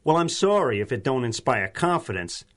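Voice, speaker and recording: A man's voice: mid-range voice, big pitch swings, moderate pace, fairly bright tone. An actor playing a dim, servile gangster henchman in a 1930s-style radio serial, slangy and obsequious. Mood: eager coaxing. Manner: stammering, obsequious, streetwise slangy grammar.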